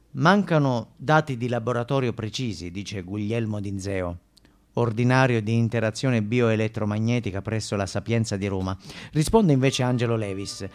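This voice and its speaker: A formal news anchor played by a male actor reads scripted bulletins in a radio play. His voice sits at a middle pitch, and he delivers each report like an announcer. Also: a little mellow, natural voice.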